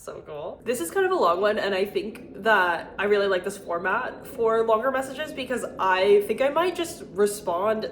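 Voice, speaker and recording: A woman's voice: medium pitch, lively intonation, normal speed, hardly any pauses, reverberant. A young adult woman with an American accent, chatting casually and informally as she hosts a video, peppering her talk with "like". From United States. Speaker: video host reading listener messages. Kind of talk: casual chat to camera.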